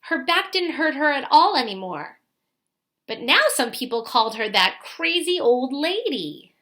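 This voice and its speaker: High, bright-voiced woman reading a storybook. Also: big pitch swings. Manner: pauses to ask young listeners questions. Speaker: teacher reading a picture book aloud. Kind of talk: expressive storybook read-aloud for children.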